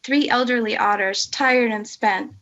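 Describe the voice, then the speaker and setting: A woman's voice: a little high, unhurried, a bit bright, highs cut off. A middle-aged woman from the Netherlands, a native Dutch speaker, introducing and reading a poem. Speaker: hospital research director reading a poem. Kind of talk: personal introduction and poetry reading.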